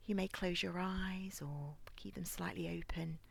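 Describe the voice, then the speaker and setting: Very slow, breathy woman guiding relaxation. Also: on the low side. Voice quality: soft, breathy, near-whispered. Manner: calm, gentle, soothing, unhurried. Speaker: guided relaxation instructor. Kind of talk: guided body scan meditation.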